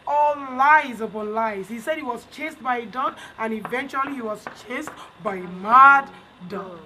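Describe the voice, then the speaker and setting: Female storyteller, high register, instructive repetition for young listeners, noisy recording. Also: a little slow, bright, forceful tone. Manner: teacherly, repeats lessons emphatically. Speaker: storyteller leading children through a story's lesson. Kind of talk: bedtime storytelling and question-and-answer with children. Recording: clear background noise.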